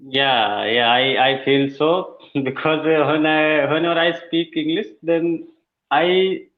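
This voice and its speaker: A man's voice: fairly high pitch, slow. Hesitant Indian man on a tinny call. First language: Hindi. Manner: hesitant, many fillers and restarts. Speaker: English learner. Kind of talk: spoken English practice on a video call.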